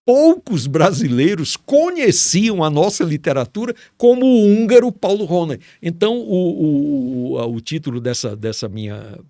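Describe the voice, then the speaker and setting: A man's voice: fairly high pitch, sing-song, unhurried, few pauses, mellow, no echo, clean studio sound. A middle-aged man from Ceará, Brazil, a writer telling stories with enthusiasm and admiration as a guest in an interview. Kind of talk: storytelling in a casual interview.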